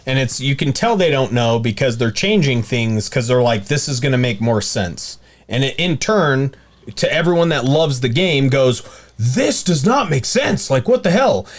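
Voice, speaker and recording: A man's voice: medium pitch, sing-song, moderate pace. A man co-hosting a casual podcast, speaking emphatically and bluntly with opinionated, joking asides. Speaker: podcast host.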